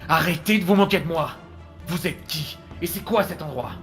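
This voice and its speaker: A man acting a troubled adventurer in an audio drama, questioning in a halting, agitated way. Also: a little high, fairly bright tone. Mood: angry, demanding. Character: troubled, bewildered male adventurer haunted by memories. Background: music.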